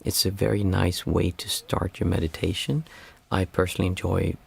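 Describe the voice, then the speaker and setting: A hoarse man with a raspy, worn voice, guiding a group meditation in a calm, unhurried way. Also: low voice. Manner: calm, unhurried counting of breaths. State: hoarse, losing his voice. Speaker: meditation teacher.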